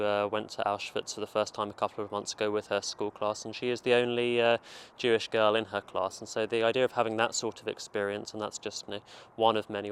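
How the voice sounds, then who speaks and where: A man's voice: on the low side, fairly bright tone, a little hiss or hum. A man, a program leader, answering interview questions thoughtfully with fillers.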